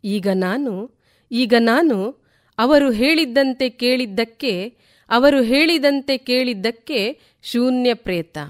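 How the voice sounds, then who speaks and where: Slow, higher-voiced woman reciting poetry. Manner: deliberate, repeats lines for emphasis. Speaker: poet reciting on a radio programme.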